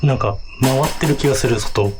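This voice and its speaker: A man's voice: mid-range voice, fairly quick. A man chatting tensely on location as he hosts a ghost hunt, hesitant and nervous, often repeating words, with insects chirping around him. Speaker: host of a ghost-hunting show. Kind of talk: tense casual on-location chat. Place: haunted site at night. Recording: loud outdoor night noise, chirping insects.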